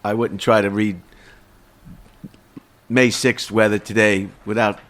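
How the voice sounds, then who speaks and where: A man with a fairly low voice hosts a loose, casual podcast conversation. He rambles and trips over his words, circling back before finishing a thought. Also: level tone, measured pace, a bit bright. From Long Island, New York. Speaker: podcast host.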